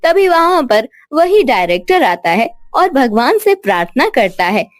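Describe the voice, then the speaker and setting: High-pitched, expressive woman storyteller. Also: measured pace, no room sound, studio quality. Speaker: narrator. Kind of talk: expressive scripted children's story narration.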